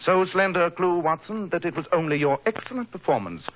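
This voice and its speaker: A man's voice: high voice, expressive, a little fast, fairly bright tone, rough recording. A man acting a coolly superior British detective in a 1940s radio drama, precise and didactic, on an old broadcast recording. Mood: coolly explaining. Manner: precise, didactic, self-assured.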